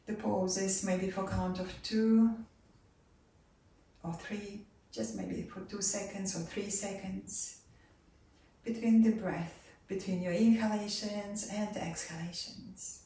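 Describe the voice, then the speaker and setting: A woman leading a guided relaxation and meditation, giving soft, calm instructions that sometimes drop to a near whisper. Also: medium pitch, slow, a little hiss or hum. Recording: roomy echo, voice far from mic.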